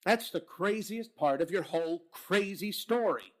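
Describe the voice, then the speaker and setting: An actor playing a blunt, sarcastic police captain. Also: male voice, high-pitched, expressive, unhurried. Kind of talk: old-time radio drama reading.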